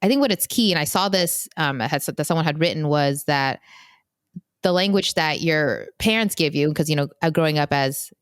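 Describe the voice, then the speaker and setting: A woman's voice: low voice, moderate pace, clear, resonant tone, no room sound, clean studio sound. A young adult woman from the United States co-hosting a podcast with her siblings, talking in loose, rambling sentences full of fillers and restarts. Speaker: podcast co-host. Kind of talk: casual chat among siblings.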